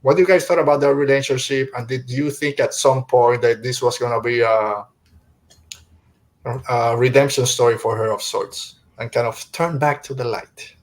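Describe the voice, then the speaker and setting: A man hosting a casual podcast review discussion, feeling his way through halting, rephrased questions to his guests. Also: medium pitch, steady tone. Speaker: podcast host.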